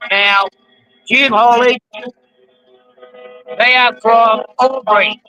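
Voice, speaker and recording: An Australian man hosting a casual live stream outdoors, rambling with lots of ums while his voice goes hoarse. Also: very high-pitched, fairly even intonation, takes it slowly, pushed and bright, faint music behind, poor audio. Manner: rambling, frequent ums and restarts. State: losing his voice from the heat. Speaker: model railroading channel host. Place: outdoors at a roadside truck convoy.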